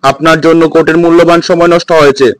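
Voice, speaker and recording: A man's voice: a little high, little pitch movement, racing, no echo, natural voice. A man playing a stern, scolding judge in a comedy cartoon skit, with a formal manner.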